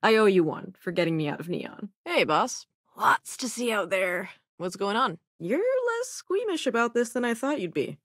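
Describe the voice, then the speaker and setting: An actress voicing a cynical, dry-witted spacefaring hacker in a video game, with a sardonic, conversational manner. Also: female voice, mid-range voice, sing-song, moderate pace, no echo. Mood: wry, guarded. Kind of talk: video game companion voice lines.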